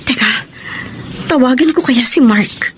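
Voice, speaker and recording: A woman's voice: high-pitched, unhurried, very noisy. A woman with a Filipino accent playing a jealous, hurt young mother and girlfriend in a radio romance drama. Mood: anxious. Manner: emotional, suspicious. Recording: music bed underneath.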